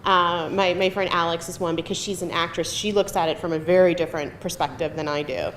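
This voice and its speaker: Lowish-pitched middle-aged woman, casual panel answers, chatty, echoey room, faint noise. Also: fairly even intonation, fairly quick, fairly bright tone. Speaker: screenwriter on a Q&A panel. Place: live film festival event room.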